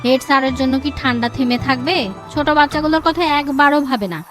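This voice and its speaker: A woman playing a poor, exasperated village mother in an animated story, speaking earnestly. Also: sits high, fast, faint music behind.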